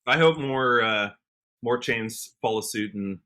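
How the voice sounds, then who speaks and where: A man's voice: medium pitch, a little slow, sharp tone. An American man chatting casually as a guest on a podcast, earnest and enthusiastic in the way he talks. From United States, Washington state. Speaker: podcast guest, country musician.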